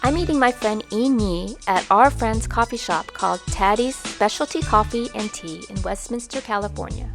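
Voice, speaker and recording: A woman's voice: medium pitch, normal speed, few pauses, over music. A woman hosting her own vlog, narrating in an upbeat, bubbly voice-over. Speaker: vlog host and singer.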